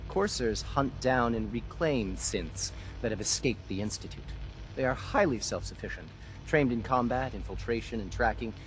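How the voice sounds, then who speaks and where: A man's voice: mid-range voice, animated, normal speed, close to an everyday voice, rough recording. Game bureaucrat, male actor: suspicious bureau director, mid-range voice with emphatic swings, cold and officious, music underneath. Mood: stern, irritated. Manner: clipped, officious, condescending. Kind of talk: video game dialogue acting.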